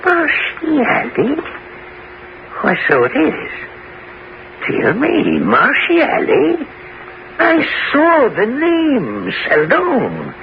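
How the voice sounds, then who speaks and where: An actor playing a fussy, affable French prison governor in a 1970s radio drama, with a flustered, stammering delivery. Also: male voice, very high voice, huge pitch swings, drawn-out words, gravelly, long pauses, heavily acted. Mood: baffled insistence. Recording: dull, faintly noisy old radio recording.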